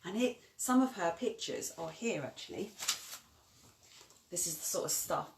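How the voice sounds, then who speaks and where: A woman's voice: lowish voice, lively intonation, normal speed. A woman informally introducing a children's story as co-presenter. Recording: echoey, distant mic, faint noise, clicks.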